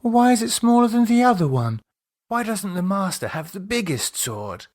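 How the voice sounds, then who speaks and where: A man's voice: sing-song, moderate pace. A man voicing an eager, insistent little girl in an audiobook, curious and childlike. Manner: high, childlike, insistent questioning.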